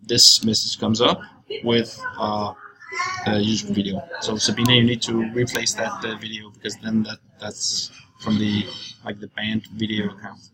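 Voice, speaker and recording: A young man giving an informal screencast walkthrough, explaining in a halting, loose way as he goes. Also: lowish voice, monotone, pushed and bright. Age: young adult. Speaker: software walkthrough presenter. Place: indoors, at a computer. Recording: clearly noisy screencast recording.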